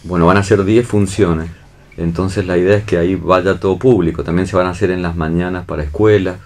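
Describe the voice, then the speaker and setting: Argentine guy, really deep voice, director explaining stuff casually in an interview. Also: steady tone, a little fast, a little mellow. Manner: seeks agreement with tag questions. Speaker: theatre and film director being interviewed.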